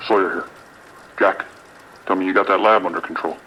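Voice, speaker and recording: A man playing a commanding, skeptical police lieutenant in an audio drama, brisk and authoritative. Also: low-pitched, fairly even intonation, bright, forceful tone, slightly rough, full character voice, poor audio. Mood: demanding. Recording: rain and radio noise behind.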